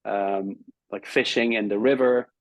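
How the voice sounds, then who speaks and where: Dutch man, lowish voice, dry close sound, halting interview guest. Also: fairly even intonation, dry recording. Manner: hesitant, repeats words while searching for phrasing. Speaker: guest, travel video creator. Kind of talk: casual interview talk.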